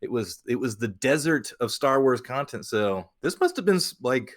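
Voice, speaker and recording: A man chatting casually as a podcast co-host, halting and repeating words as he goes. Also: mid-range voice, big pitch swings.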